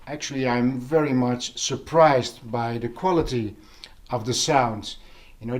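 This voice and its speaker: Man, medium pitch, slow pace, casual vlog host, explanatory, pauses mid-sentence. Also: a little mellow. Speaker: vlog host reviewing hi-fi audio gear. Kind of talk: casual informal product talk to camera.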